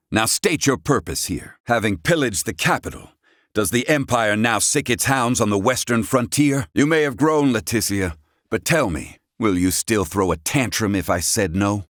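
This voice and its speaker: Give this one to a proud, curmudgeonly older scholar in a fantasy game. His voice sits low and moves with theatrical, lively inflection. He speaks in curt, haughty, old-fashioned phrases, captured close and very dry. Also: dry recording, heavily acted. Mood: imperious, wary. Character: gruff, proud, curmudgeonly older male doctor-inventor in fantasy. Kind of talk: theatrical video game voice acting.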